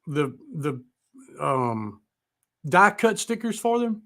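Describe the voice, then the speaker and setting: A man's voice: a little high, very animated, slow pace, a bit bright. A man hosting a music talk show, chatting in an informal, enthusiastic way. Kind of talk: casual chat, interviewing.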